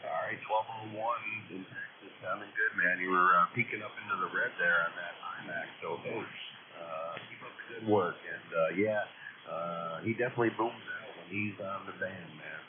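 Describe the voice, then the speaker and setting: A man's voice: low voice, normal speed. A man chatting casually on a radio band, rambling a little and signing off with call signs. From United States. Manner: relaxed, rambling sign-offs with call signs. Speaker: radio operator. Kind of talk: casual on-air radio chat. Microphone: stock radio microphone. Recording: narrow radio audio with loud static.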